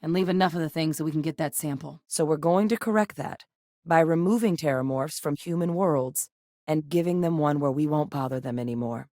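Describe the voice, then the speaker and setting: An actress playing a steady, determined female military scientist in a video game, with a serious, matter-of-fact manner. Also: low voice, brisk, no room sound, studio quality, close to an everyday voice. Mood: measured, serious.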